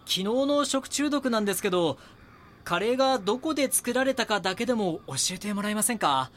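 An actor playing a young magazine reporter in an audio drama, polite, earnest and persistent. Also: male voice, high-pitched, animated, brisk, fairly bright tone, slight background noise. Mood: polite, probing. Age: young adult. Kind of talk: audio drama acting with inner monologue.

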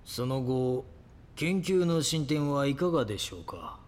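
An actor playing a grandiose, coldly fanatical cult leader who preaches solemnly and politely in an audio drama. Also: male voice, mid-range voice, big pitch swings, unhurried. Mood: calm, commanding. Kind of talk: Japanese audio drama acting.

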